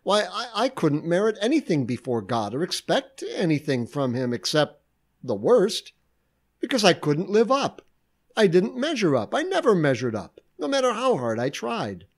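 A man's voice: high-pitched, moderate pace. A man giving a short devotional sermon, reflective and earnest, asking questions aloud. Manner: reflective, lively preaching with rhetorical questions. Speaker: preacher.

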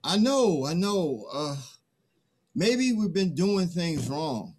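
A man's voice: sits high, sing-song, takes it slowly, a little mellow, a little hiss or hum, exaggerated voice. A middle-aged man in a play reading, playing a conscientious villager who pleads earnestly and hesitantly for the old ways. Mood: earnest, troubled. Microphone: computer mic on video call.

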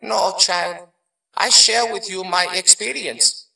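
An actor playing a wise old martial arts master spirit in an audio drama, speaking in a measured, formal, teaching manner. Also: male voice, high voice, gravelly, sharp tone, reverberant, recorded from a distance, full character voice, poor audio. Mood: firm, instructive.

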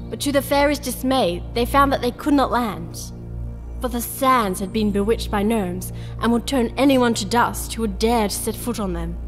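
A woman's voice: fairly high pitch, lightly acted. Woman as fairy-tale narrator over music.

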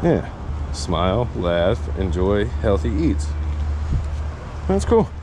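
Low-voiced man vlogging outdoors, noisy audio. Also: very animated, slow pace. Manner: talks to viewers and himself while working. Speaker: magnet fishing vlogger. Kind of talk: casual outdoor vlog commentary. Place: outdoors on concrete by water under a road bridge. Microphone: chest-mounted action camera. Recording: noisy outdoor action-camera audio with ambience.

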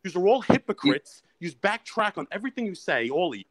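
A man's voice: high voice, very animated, a little fast. A man with a New York accent, phoning in to a live stream and ranting insults at the hosts. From Brooklyn, New York. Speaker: caller.

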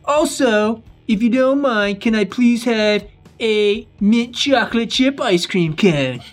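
A man's voice: high voice, animated, slow, soft background music. A man acting a scheming but very polite, apologetic male robber in a game roleplay. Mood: politely menacing.

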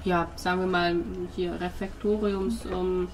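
A woman's voice: on the low side, flat delivery, measured pace, slight background noise, poor audio. A woman improvising narration as game master of a tabletop role-playing game.

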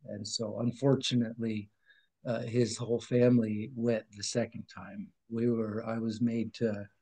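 A man's voice: lowish voice, little pitch movement, unhurried, mellow, slightly rough, poor audio. A man in his fifties, a podcast guest, giving an earnest, rambling personal account over a video call, with restarts and sudden drops in volume. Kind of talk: emotional personal testimony. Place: room at home with framed picture on wall. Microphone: video call webcam.

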